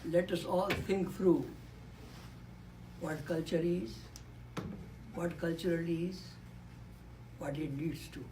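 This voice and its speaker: Slow, deliberate elderly man. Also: fairly high pitch, fairly even intonation, background noise. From Nepal. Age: 80s. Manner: deliberate, reflective, repeats key words for emphasis. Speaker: panelist. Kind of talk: speech at a panel discussion. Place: conference room. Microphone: handheld or podium microphone.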